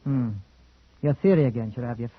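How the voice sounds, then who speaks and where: A man acting as a skeptical gentleman investigator in an old radio play, probing and musing with hesitations. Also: mid-range voice, brisk, lightly acted. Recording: tinny, narrow-band old radio recording.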